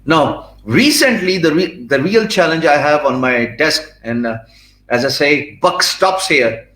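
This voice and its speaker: A man's voice: fairly high pitch, animated, a bit bright. Pakistani man with an echoey sound. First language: Urdu. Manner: fluent but filler-heavy, with frequent restarts and emphatic pauses. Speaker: guest. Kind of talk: interview answers.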